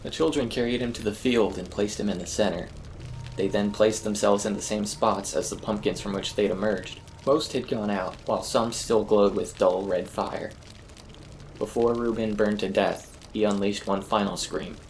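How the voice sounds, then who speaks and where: Low, gravelly male horror narrator. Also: normal speed, a little hiss or hum, low-quality recording. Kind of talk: scripted first-person horror narration. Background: eerie ambient music.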